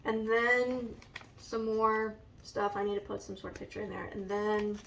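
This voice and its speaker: A woman with a raspy, allergy-hoarse voice, slowly and hesitantly showing a scrapbook in a casual vlog. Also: medium pitch, monotone, fairly bright tone, recorded from a distance, slight background noise, low-quality recording. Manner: slow and hesitant, many ums. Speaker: vlogger. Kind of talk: casual show-and-tell vlog.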